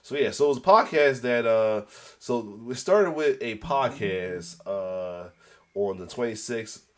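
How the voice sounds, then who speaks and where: A man's voice: lowish voice, expressive, measured pace. Congested man rambling through news reaction. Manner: rambling, repeats "as well too", many restarts; occasional coughs. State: congested with a cold. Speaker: host.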